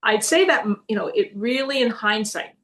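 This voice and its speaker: A woman's voice: fairly high pitch, expressive, moderate pace, fairly bright tone. A middle-aged woman whose first language is Greek, answering interview questions earnestly, with many fillers and restarts. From Canada. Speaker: podcast guest, leadership consultant.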